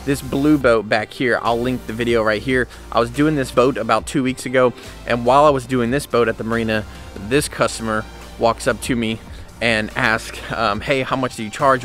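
A man's voice: mid-range voice, fairly even intonation, a little fast, fairly bright tone, soft background music. A young man running a boat detailing business, talking casually and upbeat to a handheld camera outdoors at a marina. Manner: upbeat, chatty, lots of "guys" and fillers. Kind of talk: casual how-to vlog. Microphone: handheld camera at arm's length.

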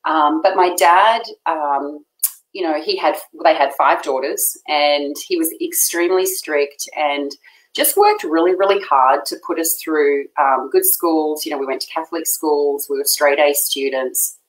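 A middle-aged Australian woman talking warmly and effusively as a guest on a video call. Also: deep voice, steady tone, measured pace. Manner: warm, effusive, laughs often. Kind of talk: casual chat. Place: home room. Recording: echoey room on video call.

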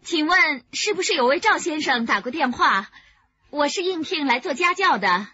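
Young female tutor, courteous and trusting, high animated voice, slightly dull radio-play sound. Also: normal speed. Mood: polite, eager. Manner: courteous, deferential. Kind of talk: Chinese radio drama acting. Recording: muffled radio drama recording.

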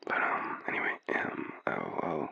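A man's voice: a little slow, sharp tone, in a whisper, lo-fi sound. A man with an Australian accent playing a drunk, shy, apologetic young man in an intimate boyfriend roleplay, stammering through a late-night call. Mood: hushed, tender. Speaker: audio roleplay performer.

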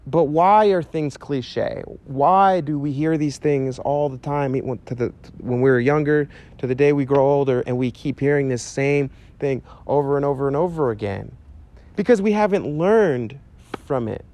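A man in his thirties preaching outdoors to a camera, earnest and animated, talking casually with fillers. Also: mid-range voice, normal speed. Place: outdoors by a chain-link fence on a sunny day. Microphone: handheld mic, medium camera shot.

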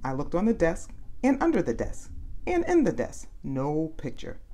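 A woman with a deep voice reads a children's book aloud. Her intonation is lively and swoops up and down. She phrases things deliberately, stretching words for emphasis. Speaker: storyteller reading children's books aloud. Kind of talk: read-aloud story narration.

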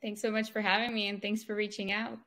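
A woman, answering interview questions in a measured way with many fillers, over a video call. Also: medium pitch, flat delivery, fairly bright tone. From Ukraine, raised in Israel. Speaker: podcast guest. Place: small room at home. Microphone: wired earphone mic on video call.

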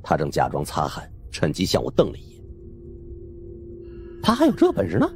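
Deep, highly animated male storyteller. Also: moderate pace, soft background music. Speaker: audiobook narrator. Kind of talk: dramatic scripted first-person suspense narration.

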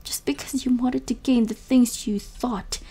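An actress playing a hurt, caring but firm young woman in an emotional audio drama, breathy and earnest, often near whispering. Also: fairly high pitch, fairly even intonation, unhurried, mellow. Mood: hurt, accusing.

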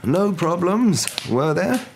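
A man voicing a calm, wise elderly wizard in a storybook, with a measured, drawn-out delivery. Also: sits high, voice pushed up, heavily acted. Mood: grave. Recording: noticeable background noise.

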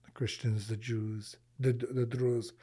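A man's voice: medium pitch, level tone, takes it slowly, mellow, breathy edge. A man in his forties, raised in the US and born in Lebanon, talking earnestly as a podcast guest, often repeating words as he thinks and using Arabic religious phrases. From Lebanon, raised in Michigan, US. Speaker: podcast guest, charity founder. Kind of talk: casual interview conversation. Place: small podcast studio indoors. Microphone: boom-arm studio mic.

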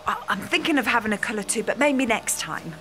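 Bright, polite woman client acted. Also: a little high, animated, fairly quick, faint music behind. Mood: hesitant, agreeable. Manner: polite, slightly hesitant. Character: polite, slightly hesitant female salon client, a young mother. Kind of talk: amateur audio play acting.

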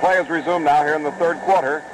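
Formal 1950s radio network announcer. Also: male voice, on the high side, steady tone. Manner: formal, measured announcer delivery. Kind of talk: station break and color commentary. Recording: noisy old 1950s radio broadcast.

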